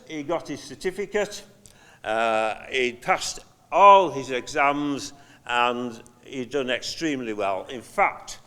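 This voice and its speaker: An older man giving a halting, conversational memorial eulogy, telling anecdotes from notes with frequent pauses. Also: medium pitch, fairly bright tone. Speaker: eulogy speaker, friend of the deceased. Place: large hall at a memorial service. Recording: long hall reverb.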